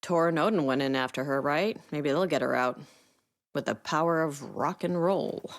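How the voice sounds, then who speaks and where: Low-voiced, hard-bitten federal agent. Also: female voice, normal speed. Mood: stern, sardonic. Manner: blunt, dry, no-nonsense. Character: tough, blunt, sardonic female government agent. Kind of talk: dramatic game dialogue.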